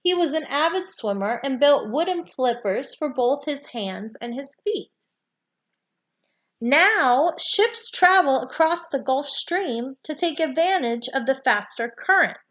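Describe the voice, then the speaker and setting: Lady reading a kids fact book out loud, really slow and careful, pitch moves up and down a lot. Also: lively intonation. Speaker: read-aloud storyteller. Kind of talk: reading a children's nonfiction book aloud.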